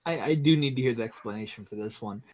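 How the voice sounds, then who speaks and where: A man with a medium-pitched voice chats on a group stream. His phrasing is measured and a little hesitant as he delivers his jokes. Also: darkish tone. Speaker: participant in a group live stream. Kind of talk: casual chat.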